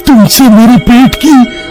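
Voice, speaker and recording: A man's voice: very high voice, warm tone, full character voice. A man voicing a gleeful, hungry male ghost with exaggerated gloating, in a cartoon horror story. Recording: music behind the voice.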